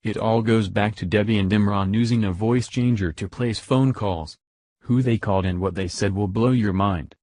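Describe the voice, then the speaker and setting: Low-voiced man narrating political news. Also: normal speed, a little mellow, no echo, clean studio sound.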